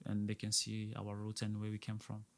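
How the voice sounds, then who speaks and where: A man's voice: on the low side, monotone, normal speed. A middle-aged man from Burundi, a restaurant owner talking earnestly as an interview guest, often repeating words to stress a point. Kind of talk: casual interview talk.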